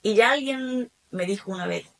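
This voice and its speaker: A woman from Spain with a Spanish accent, a guest in a casual interview, telling her story in a lively way with many asides. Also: a little high, moderate pace, gravelly. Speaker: guest, German teacher and academy founder.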